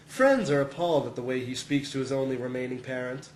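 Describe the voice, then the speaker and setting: A man's voice: mid-range voice, expressive, normal speed, darkish tone, reverberant, a little hiss or hum, rough recording. A man reading a humorous first-person essay as scripted narration, sounding amused and often close to chuckling.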